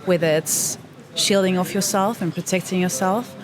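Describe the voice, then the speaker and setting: A woman from South Africa being interviewed, talking thoughtfully and pausing to find her words. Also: on the low side, fairly even intonation, a crowd in the background. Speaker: interview guest. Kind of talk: reflective conversation. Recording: faint background noise.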